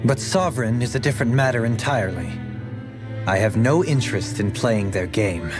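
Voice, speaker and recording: An actor playing an aloof, dignified lord in a fantasy game, speaking in a formal, imperious, measured way. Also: male voice, lowish voice, animated, over music, natural voice. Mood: cool, commanding. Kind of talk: dramatic video game acting.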